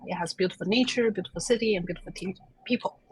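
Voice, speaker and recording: A woman's voice: medium pitch, fast talker, a little hiss or hum, rough recording. A woman in her thirties from China, a native Chinese speaker, telling her life story in a chatty, self-deprecating way as an interview guest. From China (Chengdu). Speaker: interview guest, plant scientist.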